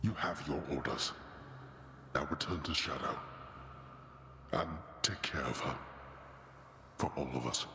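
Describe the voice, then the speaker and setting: A man's voice: rumbling low, a little slow, long pauses, bright, forceful tone, heavily acted. An actor playing a stern, weary god-king father in a video game, grave, formal and commanding. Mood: solemn command. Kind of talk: dramatic video game acting. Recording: echoey hall reverb, faint noise.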